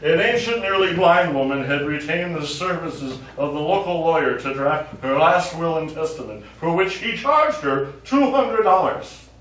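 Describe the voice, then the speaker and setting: A crass, tipsy lawyer, played on stage by a man with a fairly high voice. He tells his stories in a pushy, theatrical way and brushes objections aside. The sound is very echoey, picked up from far off in a small theatre. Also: animated, moderate pace, hardly any pauses, a bit bright. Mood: smug, jocular. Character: crass, boozy, joke-telling male lawyer who ignores objections. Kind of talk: live stage play acting. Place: small theatre studio, distant microphone.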